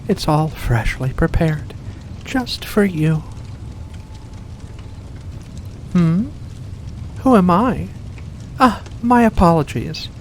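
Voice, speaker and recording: A performer voicing a mysterious, seductive vampire in a slow, deliberate ASMR roleplay, courteous and teasing. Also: mid-range voice, expressive, a little mellow. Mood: courteous, luring. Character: seductive mysterious vampire host luring in a guest. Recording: faint background noise.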